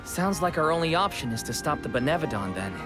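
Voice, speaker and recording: Earnest young male game hero, lively delivery, loud music behind. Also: on the high side, fast talker. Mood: resolute. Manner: earnest, plain-spoken. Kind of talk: video game voice acting.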